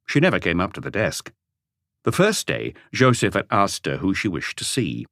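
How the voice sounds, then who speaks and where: A male audiobook narrator with a deep voice, telling a mystery story. His intonation moves a lot, and the recording is close and dry. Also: wildly swooping pitch, moderate pace, gravelly, a bit bright, no room sound.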